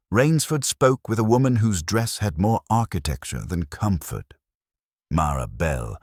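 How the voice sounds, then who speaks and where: Very deep-voiced man narrating detective fiction. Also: no room sound, clean studio sound. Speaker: audiobook narrator. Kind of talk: scripted first-person detective story narration.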